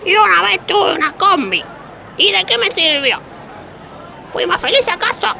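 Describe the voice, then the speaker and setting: A woman's voice: extremely high pitch, lively intonation, moderate pace, creaky, sharp tone, some chatter behind, heavily acted. An actress playing an indignant, rambling old working woman with an Argentine accent in a comic radio play. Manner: argumentative, rambling, self-contradicting complainer. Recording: faint vehicle and street noise, people behind.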